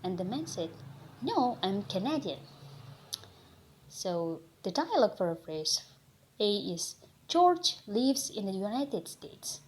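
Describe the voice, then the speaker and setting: A woman's voice: mid-range voice, big pitch swings, slow, pauses often, a little hiss or hum, rough recording. A young woman with an Indonesian accent, presenting a lesson from slides, reading in short, careful phrases. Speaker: student presenter.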